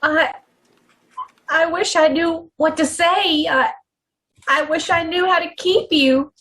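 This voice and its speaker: A woman acting a devoted young man's sweetheart in a radio play, with a very high voice and slow delivery. She is earnest and sorrowful, faltering as she speaks. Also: steady tone, a bit bright, heavily acted. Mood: worried, pleading. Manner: halting, earnest, repeats herself. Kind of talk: old time radio drama acting.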